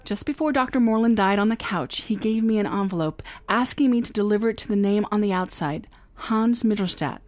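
A woman's voice: mid-range voice, a little fast, a little mellow, no echo, lightly acted. Woman as wisecracking female private eye, confident, old-time radio drama, dry studio. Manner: breezy, wisecracking narration. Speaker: actor.

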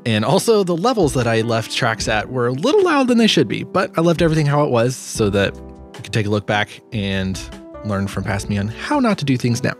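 A man in his twenties talking casually through his sound design work, relaxed and self-deprecating, close to the microphone. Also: medium pitch, brisk, faint music behind. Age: 20s. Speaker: sound designer hosting a tutorial. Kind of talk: casual screen-share walkthrough. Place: small home studio room. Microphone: close boom-arm microphone.

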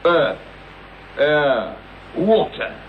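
Arrogant British sleuth, radio drama: lofty, rhetorical delivery in a raised register, with noticeable hiss. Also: male voice, fairly high pitch, big pitch swings, extremely slow, full character voice. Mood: scornful. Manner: pompous, precise, rhetorical. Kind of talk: radio play acting. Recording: dull, hissy old radio tape recording.

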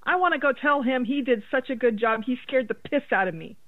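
A woman's voice: high-pitched, brisk. A woman co-hosting a podcast, chatting casually and informally about horror films. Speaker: podcast co-host. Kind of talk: casual horror film chat. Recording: tinny phone-like line, roomy echo.

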